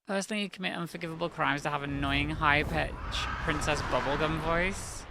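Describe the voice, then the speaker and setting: A woman streaming a video game live, chatting and reacting excitably to the game. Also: low-pitched, a little fast, noisy background. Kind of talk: live gameplay commentary. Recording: gravelly voice over clear game music.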